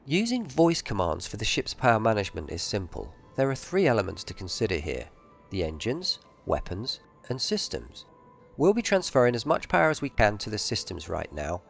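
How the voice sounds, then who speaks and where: Lively-pitched male tutorial narrator, faint music. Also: moderate pace, gravelly. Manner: explanatory, methodical. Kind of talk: scripted instructional narration for a space game.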